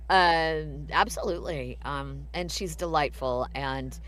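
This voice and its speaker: A woman, a voice actress, answering fan questions on a live panel, pausing with frequent ums before she speaks. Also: low-pitched, a bit bright.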